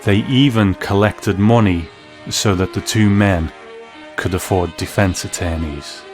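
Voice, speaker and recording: A man's voice: low-pitched, a little slow, darkish tone, soft background music. A man hosting a talk program, giving scripted commentary and reading from articles. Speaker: host.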